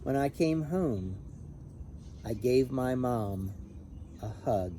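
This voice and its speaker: A man reading a children's picture book aloud in an expressive, animated, emphatic way. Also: medium pitch, slow pace, slight background noise. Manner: animated, emphatic on repeated refrain. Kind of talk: expressive storybook read-aloud with brief asides.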